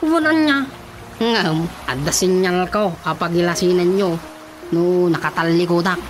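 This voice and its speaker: A man's voice: high-pitched, moderate pace, background noise, natural voice. A man acting an eager, goofy young nephew in a radio comedy drama, comically deferential to his elders. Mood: sheepish, joking.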